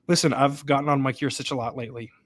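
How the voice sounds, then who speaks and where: A man's voice: medium pitch, steady tone, fast. A man co-hosting a college football podcast, breaking down plays as he thinks aloud and often asks "right?". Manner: thinks aloud, repeats himself, seeks agreement with "right?". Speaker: podcast co-host, sportswriter. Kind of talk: casual sports podcast analysis. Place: stadium press box.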